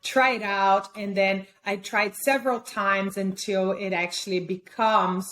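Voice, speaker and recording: A woman's voice: medium pitch, steady tone, measured pace, a bit bright. Brazilian woman chatting, Portuguese accent. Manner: chatty, many fillers and restarts. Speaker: guest photographer. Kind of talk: casual interview chat.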